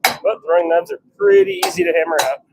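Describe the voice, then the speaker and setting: A man's voice: high-pitched, wildly swooping pitch, fast, vocal fry. A man giving casual running commentary in short fragments while he works on a livestream from a noisy workshop. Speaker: blacksmith livestreamer. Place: workshop with a forge.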